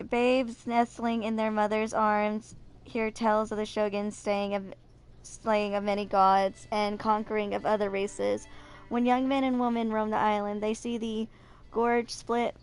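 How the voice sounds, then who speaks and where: A woman's voice: fairly high pitch, a little mellow, clean tone. A woman in her twenties hosting a casual gaming video, chatting and reading game lore aloud, sometimes stumbling and correcting herself. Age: 20s.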